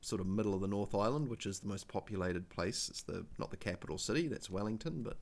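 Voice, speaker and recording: A man with a New Zealand accent hosting a casual livestream, talking in a halting, rambling way with many fillers and restarts into a desk microphone. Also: on the low side, darkish tone. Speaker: livestream host.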